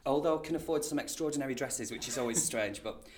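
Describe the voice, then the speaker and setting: Guy giving acting tips, playful and encouraging, medium pitch, chatty. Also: fast talker. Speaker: pantomime dame performer, acting coach. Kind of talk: casual coaching chat.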